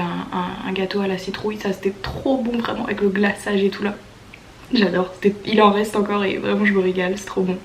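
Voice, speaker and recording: A woman's voice: medium pitch, a little mellow, a little hiss or hum. A woman in her early twenties from France with a French accent, chatting casually and enthusiastically in youthful slang like a vlogger, her voice congested from a cold. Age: early 20s. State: stuffy voice from a cold. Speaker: au pair vlogger. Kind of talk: casual chatty vlog storytelling.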